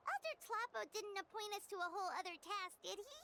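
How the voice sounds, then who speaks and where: A woman voicing a small, excitable, cheeky fairy companion in a video game, squeaky and exclamatory. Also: quick pace, a little hiss or hum, heavily acted. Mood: curious, cheeky.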